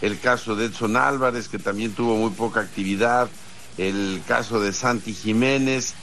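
A man with a Mexican accent giving conversational sports news commentary in a measured way, pausing between points. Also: on the low side, steady tone, fairly bright tone, a little hiss or hum. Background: music.

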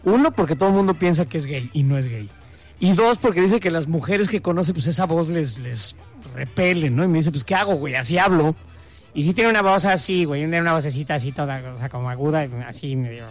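A Mexican man in his fifties hosting a show, talking in a relaxed, joking, slangy way. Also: fairly high pitch, quick pace, soft background music. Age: 50s. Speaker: radio show host. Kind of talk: casual chat.

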